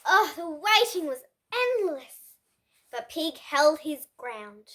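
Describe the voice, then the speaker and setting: A young girl reading a picture book aloud as host, with expressive, dramatic storybook phrasing. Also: high voice. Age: child. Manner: slow, deliberate, dramatic phrasing.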